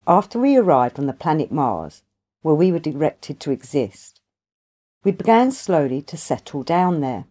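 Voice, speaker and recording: A woman voicing a wise, ancient grandfather in an audiobook, telling his tale in a formal, measured, long-winded way. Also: deep voice, sing-song, mellow, voice pushed down. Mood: reflective.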